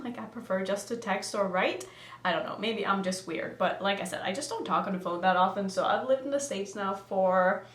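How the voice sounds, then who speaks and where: A young woman from Norway whose first language is Norwegian chats casually through a makeup tutorial. She thinks aloud and giggles often, at a mid pitch. Her voice rings with a roomy echo. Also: a bit bright. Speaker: makeup vlogger. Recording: echoey room.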